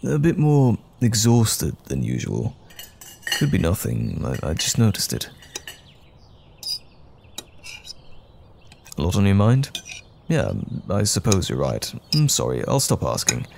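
A man playing a loving, caring boyfriend in an intimate comfort audio roleplay, speaking gently and soothingly with affectionate teasing. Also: on the low side, huge pitch swings, moderate pace, creaky, noisy background, heavily acted. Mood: gently concerned.

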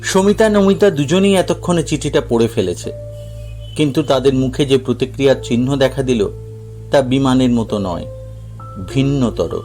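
A man's voice: medium pitch, animated, moderate pace, darkish tone. A man reading a scripted story as a narrator, with dramatic storytelling delivery. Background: background music.

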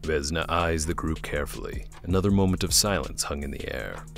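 A man's voice: very deep voice, lively intonation, fairly quick, gravelly, fairly bright tone, soft background music. A man reading scripted narration for a fantasy audio drama.

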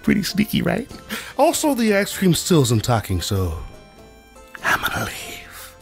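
A man's voice: high-pitched, wildly swooping pitch, unhurried, vocal fry, soft background music, exaggerated voice. A man voicing a childlike, chatty, sneaky male robot in a cartoon, naive and confiding. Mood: pleased, sly. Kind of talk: animated comedy acting.

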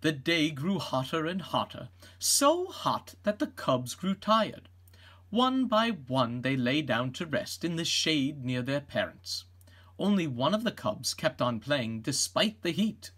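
A middle-aged man narrates a children's storybook. His voice is husky and animated, with expressive rises and falls. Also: on the high side, a little slow. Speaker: read-aloud narrator.

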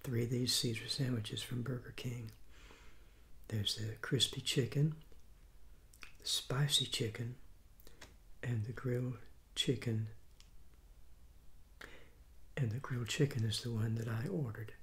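A man in his sixties, hoarse from a cold, reviewing fast food in a soft, half-whispered ASMR style with many restarts, seated at a table indoors. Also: medium pitch, steady tone. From Southeastern United States. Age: 60s. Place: small room at a table, indoors. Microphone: fixed camera mic at close range.

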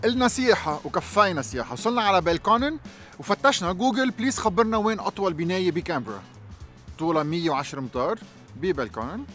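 Lebanese man doing a travel vlog, upbeat and casual, kinda high voice, music playing behind him. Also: animated, fast, a bit bright. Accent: Lebanese Arabic. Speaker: travel vlogger, host.

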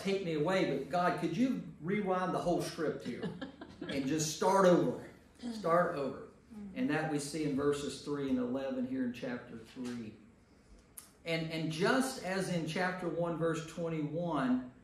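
An American man leading a Bible study as a priest, speaking informally and conversationally to a group and checking in with questions. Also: fairly high pitch. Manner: conversational, puts questions to listeners, checking in with "right?". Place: indoor church meeting room with a group. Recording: echoey room from far off, noisy.

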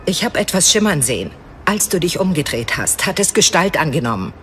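A woman's voice: fairly low pitch, sing-song, brisk, fairly bright tone, slight background noise. A woman playing a terse, determined elven ranger captain in a dramatized fantasy audiobook. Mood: wary, focused.

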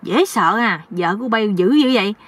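A woman's voice: mid-range voice, lively intonation, normal speed, husky, no room sound. Woman voicing a blunt, nosy older village uncle. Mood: nosy surprise. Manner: blunt, scolding, know-it-all. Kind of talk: comic storytelling.

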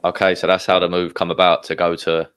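A man hosting a casual interview, prompting his guest with friendly questions and quick affirmations. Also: sits low, completely flat delivery, fairly bright tone, clean tone. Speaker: podcast host.

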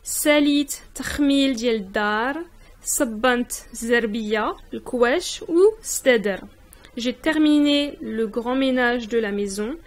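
A woman giving a language lesson in a voice-over, saying each word slowly, repeating it and translating it. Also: on the high side. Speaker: language teacher. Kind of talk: slow spoken language lesson. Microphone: voice-over on text slides.

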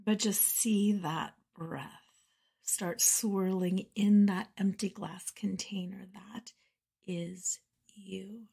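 A woman guides a meditation at a very unhurried pace, leaving space between short phrases. Her voice is soft and breathy with a husky grain, often settling into a gentle creak. The delivery is soothing and measured. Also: mid-range voice, steady tone. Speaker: meditation guide and podcast host.

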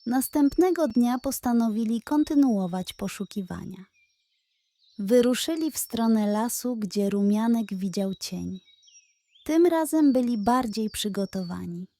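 Female bedtime storyteller, gentle, measured, warm and mellow. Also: medium pitch.

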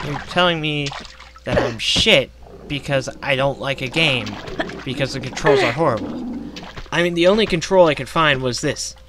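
A man's voice: a little high, heavy background noise. A man who says he is losing his voice, ranting with a lot of profanity while commenting on a game. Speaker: gaming vlogger. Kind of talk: casual ranting commentary over gameplay. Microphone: gaming headset.

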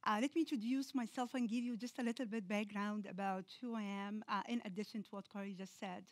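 A woman in her forties, originally from Egypt, giving a public lecture in a hall with a lapel mic, talking conversationally with many pauses and fillers. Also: mid-range voice, steady tone. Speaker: guest lecturer. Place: lecture hall with seated audience.

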